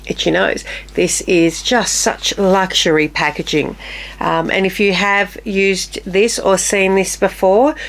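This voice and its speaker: A woman's voice: lowish voice, clear, resonant tone. Slightly nasal woman in her fifties. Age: 50s. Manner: chatty, drifts into murmured asides while applying makeup. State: slightly nasal, recovering from illness. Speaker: beauty vlogger. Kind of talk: casual makeup tutorial chat.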